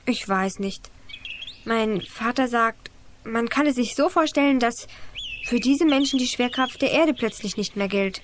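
A woman's voice: fairly high pitch, a little slow, background noise, natural voice. A female performer playing an earnest, devout child who explains things in a children's radio play. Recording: 1980s radio play, birdsong behind.

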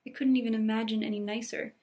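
A woman's voice: on the high side, flat delivery, quick pace, mellow, natural voice. A woman voicing an effusive, romantic young girl in a storybook read-aloud, full of earnest exclamations. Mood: excited. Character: excitable, dreamy, romantic young girl, gushing with delight.

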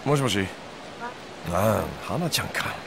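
An actor playing a laid-back, streetwise moneylender in a Japanese video game, talking in a casual, nonchalant way. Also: male voice, lowish voice, animated, a little slow, noisy background. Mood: sheepish, carefree. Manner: casual, nonchalant, rough-edged.

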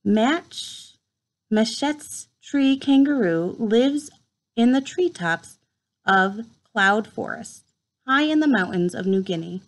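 Lively higher-pitched woman reading aloud. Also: slow pace, rough recording. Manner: expressive storytime reading with brief asides. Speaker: read-aloud host. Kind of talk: reading a children's nature book aloud. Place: small room at home, indoors.

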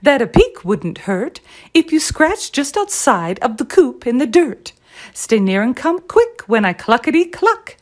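A woman's voice: high voice, sing-song, unhurried, voice pushed up, full character voice. A woman voicing a fussy, loving mother hen in a children's storybook. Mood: reluctant. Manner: clucking, motherly.

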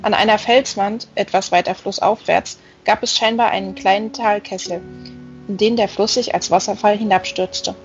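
A woman's voice: mid-range voice, steady tone, normal speed. A woman reading a novel aloud as scripted audiobook narration. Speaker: audiobook reader. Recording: dull lo-fi recording, faint music.

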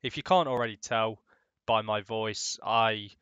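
A man's voice: fairly low pitch, fairly even intonation, measured pace, a bit bright, clean tone, no echo, muffled recording. A young man with a cold, sounding nasal, giving rambling casual commentary over his game footage. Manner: rambling, many ums and restarts, swears casually. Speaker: gaming YouTuber.

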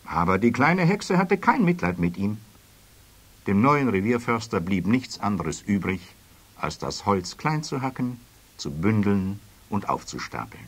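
A man's voice: lowish voice, big pitch swings, unhurried, breathy edge, heavily acted. A man narrating a children's story as scripted radio play narration.

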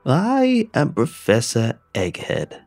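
A man voicing a pompous, shrieking egg-shaped creature who makes booming, formal demands. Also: huge pitch swings, slow, a little mellow, no echo, faint music behind, heavily acted. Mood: booming demanding.

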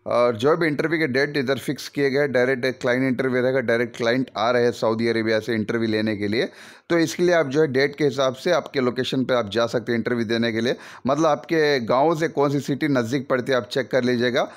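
A man's voice: medium pitch, fast talker. Chatty man advising on job adverts. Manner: chatty, repetitive, advisory, with many fillers like "okay". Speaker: YouTube job-vacancy channel host. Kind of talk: casual voice-over talking through job adverts. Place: voice-over over scrolling job advert images.

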